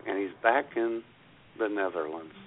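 A man co-hosting an internet radio show in casual comic banter, with a husky voice. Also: on the low side, normal speed. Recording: narrow phone-like radio sound.

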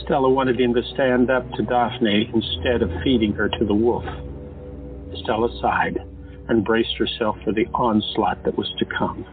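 A man narrating an audio drama in a measured, steady way, on a lo-fi recording with music. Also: mid-range voice. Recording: lo-fi, phone-like, with music.

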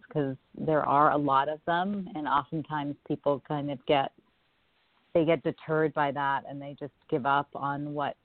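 A guest from Jamaica talks through interview answers, thoughtful and measured, with a mid-range pitch. The line is dry and tinny, like a phone call with no room sound. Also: dry recording. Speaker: filmmaker guest.

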